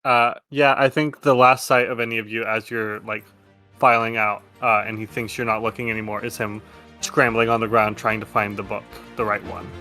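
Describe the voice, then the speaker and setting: A man's voice: on the low side, flat delivery, moderate pace, pushed and bright, soft background music. A man narrating a tabletop roleplaying game as game master, improvising in a loose, casual way.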